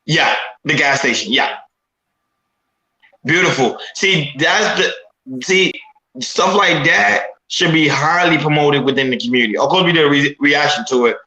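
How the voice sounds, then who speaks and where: Haitian-descent guy on a podcast panel, forceful bright voice, keeps repeating himself to make his point, roomy echo. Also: a little high, a little slow. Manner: emphatic, repeats himself while building a point. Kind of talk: casual panel discussion.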